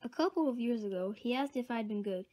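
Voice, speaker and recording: A man voicing a confident older boy bear cub in a storybook reading, talking quickly and knowingly. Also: very high voice, lively intonation, a little mellow, pitched above the performer's own voice. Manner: quick, know-it-all.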